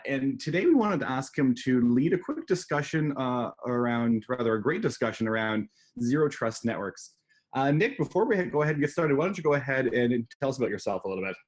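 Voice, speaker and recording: A man's voice: mid-range voice, lively intonation, a little fast. A man hosting a business webinar, introducing a guest and covering housekeeping in a friendly, loose way with many fillers. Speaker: webinar host. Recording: remote webinar audio.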